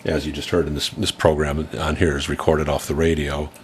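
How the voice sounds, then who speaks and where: A middle-aged man casually demonstrating a tape deck at home, explaining in a relaxed way with plenty of fillers. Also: a bass voice, a little fast, slight background noise.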